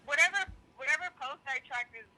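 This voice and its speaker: Fast, bright woman on a phone line. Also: sits high, lively intonation, vocal fry. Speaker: podcast co-host calling in. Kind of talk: casual chat. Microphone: phone call. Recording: distant, thin phone call audio.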